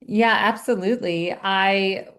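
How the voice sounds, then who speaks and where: A woman's voice: mid-range voice, fairly even intonation, slow pace, a bit bright, clear, resonant tone. Upbeat middle-aged woman guest. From United States. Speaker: podcast guest, physician. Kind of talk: interview conversation.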